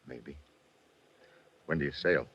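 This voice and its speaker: An actor playing a tough, wisecracking American investigator in a 1950s radio drama, speaking in a wry, clipped way. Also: male voice, rumbling low, slow pace, a bit bright, breathy edge, heavily acted. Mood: relaxed, flirtatious. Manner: wry, clipped, hard-boiled. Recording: muffled, noisy old radio recording.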